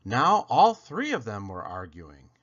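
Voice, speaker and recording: A man reading a children's picture book aloud, expressively and with dramatic pauses. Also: medium pitch, huge pitch swings, a bit bright, lo-fi sound. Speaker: read-aloud storyteller.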